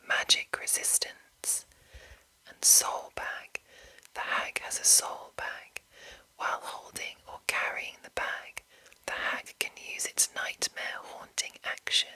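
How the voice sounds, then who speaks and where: A woman whispering in a breathy, unvoiced voice, softly and deliberately reading aloud as an ASMR host. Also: low-quality recording. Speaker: ASMR video host and narrator.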